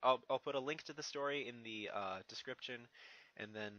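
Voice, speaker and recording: A man's voice: mid-range voice, brisk, bright, forceful tone, dry recording, muffled recording. A man who reads fan fiction, giving a casual channel update in a hesitant, rambling, stammering way. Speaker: fan fiction reader and online creator.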